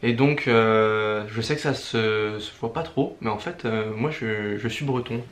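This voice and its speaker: A young man from Brittany who talks hesitantly with many pauses while co-hosting a casual vlog. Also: fairly low pitch, echoey room. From Brittany, France. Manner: hesitant, many fillers, understated. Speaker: vlog co-host. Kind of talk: casual couple vlog chat.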